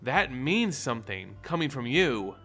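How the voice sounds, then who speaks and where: A man's voice: medium pitch, very animated, a little slow, fairly bright tone, soft background music, exaggerated voice. A man voicing a steadfast, wry woman warrior in a fantasy story, with terse, resolute delivery. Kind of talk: dramatic fantasy story narration dialogue.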